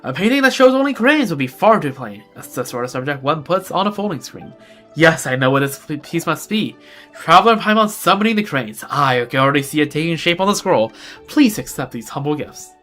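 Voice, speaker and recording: A man voicing an earnest, polite painter character from a video game, reading the lines formally and a little higher than his own voice. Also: very animated, fairly quick, fairly bright tone, soft background music. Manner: formal, polite read-aloud delivery.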